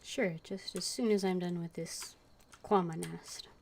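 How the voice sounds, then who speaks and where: A woman's voice: on the low side, fairly even intonation, measured pace, darkish tone. A woman casually commentating on her own gameplay as a streamer, musing and thinking aloud. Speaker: game streamer. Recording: clear background noise, keyboard typing.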